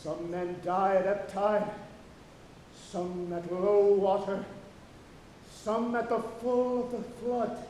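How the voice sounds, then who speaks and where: A man's voice: flat delivery, slow, mellow. A man playing an old, obsessed whaling captain on stage, declaiming grandly and commandingly in an echoing auditorium. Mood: grave, brooding. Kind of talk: theatrical stage acting of a literary tragedy. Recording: echoey auditorium, low rumbling noise.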